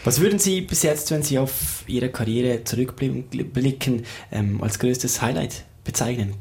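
A man's voice: medium pitch, a little slow, creaky, a little mellow. Formal male radio host. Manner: formal, polite, wordy questions. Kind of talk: radio interview.

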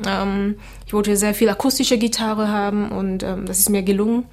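Woman, flat even speech. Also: mid-range voice, normal speed. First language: Creole. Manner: relaxed, hesitant with many fillers. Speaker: singer guest. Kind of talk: casual interview answers.

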